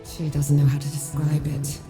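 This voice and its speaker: An actress playing a gentle, concerned wife and mother in a video game drama, speaking earnestly. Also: deep voice, completely flat delivery, moderate pace, natural voice. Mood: tender, concerned. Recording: long reverb, music behind.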